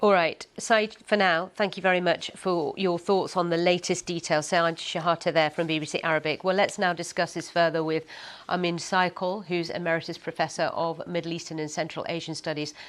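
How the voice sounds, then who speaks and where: A woman's voice: on the low side, steady tone, brisk. A woman presenting broadcast news and interviewing correspondents.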